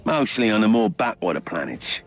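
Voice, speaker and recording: A man playing a composed, knowing Inquisitor in a video game, speaking in a measured, dryly wry and articulate way. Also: on the low side, very animated. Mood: grave, explanatory. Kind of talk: dramatic video game dialogue. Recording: music behind the voice.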